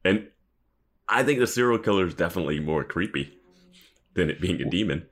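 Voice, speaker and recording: A man with a very deep voice and lively, swinging intonation co-hosts a podcast. He riffs casually on a TV episode, full of incredulous asides and slangy mock quotes. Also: fairly quick. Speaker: podcast co-host. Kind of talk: casual chat commenting on a TV episode.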